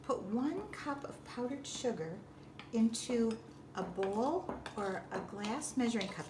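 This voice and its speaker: A woman giving step-by-step instructional narration in a deliberate way, with simple explanatory phrasing. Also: mid-range voice. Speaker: librarian giving a baking demonstration. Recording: distant roomy echo with background noise.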